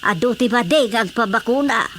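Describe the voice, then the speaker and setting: Wary rural Ilocano woman, high-pitched, headstrong, dismissive, for radio drama comedy. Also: expressive, a little fast, fairly bright tone, slight background noise. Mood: fearful, stubborn. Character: stubborn, fearful countrywoman wary of vaccines.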